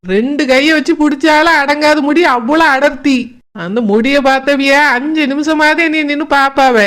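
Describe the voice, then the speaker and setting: A woman's voice: high-pitched, a bit bright. A woman playing a proud, fondly bickering old grandmother in a comic cartoon, with a colloquial Kanyakumari Tamil accent. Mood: boastful, teasing. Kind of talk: comic cartoon dialogue, storytelling.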